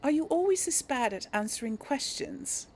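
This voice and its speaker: A woman's voice: high voice, animated, moderate pace, a little hiss or hum. A woman playing a role-play video game, talking in a casual, musing way as she comments and voices dialogue choices. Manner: giggly, musing. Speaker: gamer hosting a role-play let's play.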